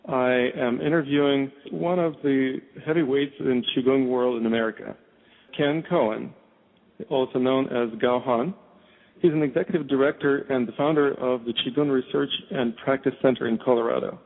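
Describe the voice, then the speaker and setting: A man's voice: mid-range voice, steady tone. A male show host reading a formal introduction and interviewing a guest. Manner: measured, formal, stumbles now and then. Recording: tinny phone line.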